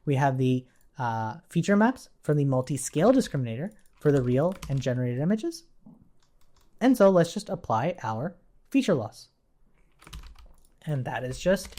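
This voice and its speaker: A tutorial presenter with a medium-pitched, very animated voice. The delivery is casual and unscripted, working through code aloud step by step. Statements often end on a short checking tag, as if teaching someone alongside. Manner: informal, thinking aloud while working, tags statements with "right?". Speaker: instructor, coding tutorial presenter. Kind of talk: casual live-coding tutorial narration.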